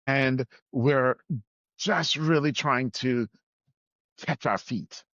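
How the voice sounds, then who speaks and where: Male podcast guest from Trinidad and Tobago, mid-pitched, emphatic conversational storyteller, dry and slightly lo-fi audio. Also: very slow, a bit bright, no echo. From Trinidad and Tobago, moved to the US at nine. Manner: emphatic, repeats phrases for weight. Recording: slightly dull, lo-fi sound.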